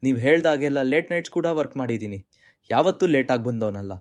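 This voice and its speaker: A man's voice: medium pitch, fast, no room sound, natural voice. Man acting as dejected, earnest young Indian employee. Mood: pleading. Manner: deferential, hesitant, earnest. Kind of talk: short-film drama dialogue and monologue.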